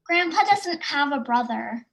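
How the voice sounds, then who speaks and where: A woman's voice: high-pitched, expressive, a little slow, creaky, a bit bright, exaggerated voice. A woman playing a blunt, skeptical grandchild in a drama, a little distant from the microphone. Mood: puzzled, doubting. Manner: doubting, blunt.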